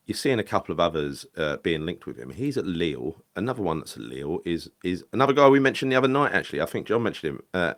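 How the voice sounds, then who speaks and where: A man's voice: very deep voice, a bit bright. A man talking casually about football, rambling and correcting himself with lots of fillers. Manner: rambling, hesitant, frequent fillers and self-corrections. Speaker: football podcast host. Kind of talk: casual solo football chat.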